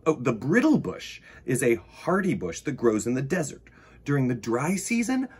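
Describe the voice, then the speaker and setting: A man's voice: mid-range voice, husky. A man hosting a children's storytime, talking straight to young viewers with enthusiastic, emphatic delivery and chatty asides. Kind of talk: animated picture-book reading with chatty asides.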